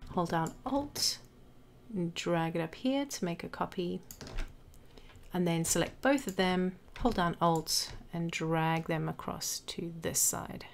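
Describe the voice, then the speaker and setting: A woman narrating a step-by-step software tutorial as an instructor, explaining each action as she goes. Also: lowish voice, takes it slowly, creaky. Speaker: graphic design tutorial instructor. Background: background music.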